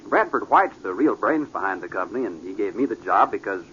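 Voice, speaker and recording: An actor playing a naive, eager young businessman, boyish, halting and flustered, in a vintage radio drama. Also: fairly low pitch, lively intonation, bright, forceful tone. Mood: bashful, modest. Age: young adult. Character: naive, bashful, eager young company president. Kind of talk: 1940s radio drama acting. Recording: muffled, noisy vintage radio recording.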